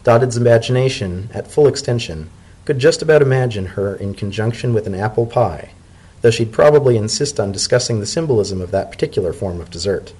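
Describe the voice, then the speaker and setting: A man's voice: medium pitch, a little fast, dark tone, slightly rough, poor audio. A man narrating a story as a dramatic reading, in the manner of a storybook narrator.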